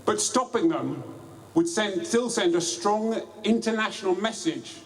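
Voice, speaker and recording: A man giving a deliberate protest speech outdoors at a street rally, with small restarts. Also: sits high, expressive. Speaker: rally speaker.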